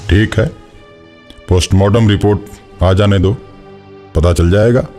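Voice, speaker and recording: A man's voice: fairly low pitch, mellow, soft background music. Man acting a stern, intimidating police inspector. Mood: curt, stern. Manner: authoritative, menacing, deliberate. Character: stern, intimidating male village police inspector, threatening and suspicious. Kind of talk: Hindi animated horror story acting.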